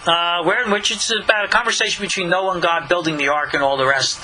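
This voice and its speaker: A rabbi explains a text in a loose, talky lecture, tossing out rhetorical questions and side remarks. His voice sits high for a man and rings very bright. A faint hiss of noise runs underneath. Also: fairly even intonation, fast, rough recording. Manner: conversational, asides and rhetorical questions, restarts. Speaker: rabbi teaching. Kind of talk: lecture-style commentary on a text.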